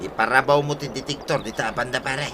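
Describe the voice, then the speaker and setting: A man's voice: mid-range voice, brisk, noisy background, close to an everyday voice. A man with an Ilocano accent playing a sly, bossy village treasure hunter in a comedy drama, scheming and eager. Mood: casual, bossy. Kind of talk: Ilocano comedy radio-style drama acting.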